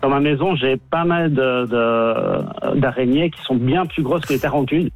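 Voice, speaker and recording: A man's voice: mid-range voice, normal speed, soft background music. A Belgian-born man calling in to a radio show, a friendly university lecturer who restarts his sentences often. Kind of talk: phone-in chat. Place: calling from abroad.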